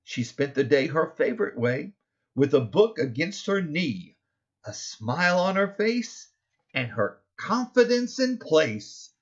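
Middle-aged man, children's storybook narration, slow, animated, stressed key words, slightly muffled home recording. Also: a little high. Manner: deliberate, rhyming read-aloud with stressed key words. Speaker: author reading his own picture book.

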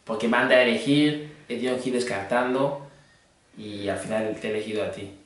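A man's voice: medium pitch, steady tone, brisk. A young adult man chatting casually on prank phone calls as a fitness trainer. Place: indoor green screen studio. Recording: very echoey room, distant mic.

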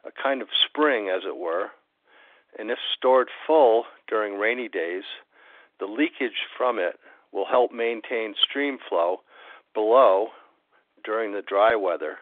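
A man reads explanatory prose aloud from a script. His voice is a bit low, and the sound is dry and tinny, as if over a phone. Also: a little slow, no echo. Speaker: company president reading aloud. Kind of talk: scripted expository reading.

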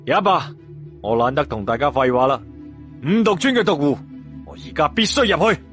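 A man's voice: a little high, animated, fairly quick, a bit bright, faint music behind, voice pushed up. A man voicing a cocky, nonchalant young martial arts hero in an audiobook, flippant and bragging.

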